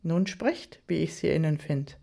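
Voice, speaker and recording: A man's voice: fairly high pitch, measured pace, vocal fry, dark tone. Narrator doing a cheerful man reciting verse. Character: cheerful male colleague reciting a Christmas poem.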